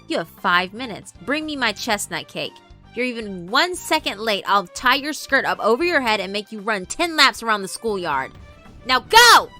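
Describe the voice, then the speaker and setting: A woman's voice: fairly high pitch, sing-song, moderate pace. A woman voicing a short-tempered, gloating tyrant spirit girl in a boastful, taunting visual novel performance. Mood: bossy, furious. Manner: boastful, taunting, shouting with a chanted cackle. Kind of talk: dramatic visual novel voice acting. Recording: music behind the voice.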